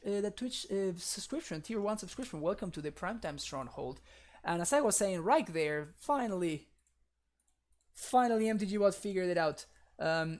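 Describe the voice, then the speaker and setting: A young man, a native Spanish speaker with a Spanish accent, chatting casually with viewers while streaming a card game, often laughing. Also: a little high. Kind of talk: casual live-stream commentary.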